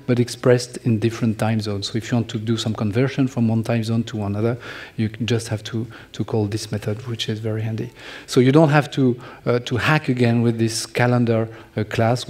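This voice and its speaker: A middle-aged man with a French accent giving a technical conference talk from a large stage, halting with many restarts. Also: mid-range voice, warm tone. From France. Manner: halting, many restarts and fillers, joking asides. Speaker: conference speaker.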